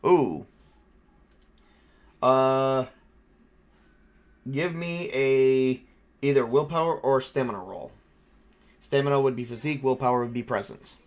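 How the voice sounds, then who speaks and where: A man hosts a tabletop roleplaying session as game master. His pitch sits in the middle for a man, and he describes the action informally, mixing rules talk with dramatic narration. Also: a little slow, pauses often.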